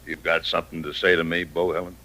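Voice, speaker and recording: Steely, arrogant gunslinger for radio western; very low voice, clipped and menacing lines. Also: male voice, flat delivery, fast talker, pushed and bright, slight background noise. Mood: quiet menace. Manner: terse, coolly menacing. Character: cold, arrogant hired gunman turned western sheriff, 38. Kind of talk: old-time radio western acting.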